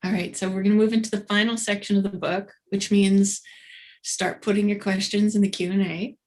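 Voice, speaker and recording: A woman hosting an online book launch over a video call, appreciative and quick to laugh. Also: medium pitch, level tone, a little mellow. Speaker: event host.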